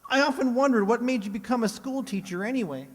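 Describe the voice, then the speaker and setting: An awkward adolescent boy in a radio comedy, gushing and bashful. The voice is very high and boyish, ringing in a hall-like reverb. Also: fast talker. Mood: bashful, admiring. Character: awkward, gushing, squeaky teenage boy student. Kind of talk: comedic old-time radio acting.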